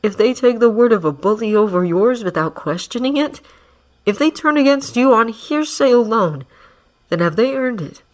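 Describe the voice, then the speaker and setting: A woman voicing a calm, manipulative man who speaks evenly and softly. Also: a little high, normal speed, pitched above the performer's own voice, lightly acted. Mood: coaxing. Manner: even, soft, coaxing. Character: calm manipulative male villain, soft-spoken.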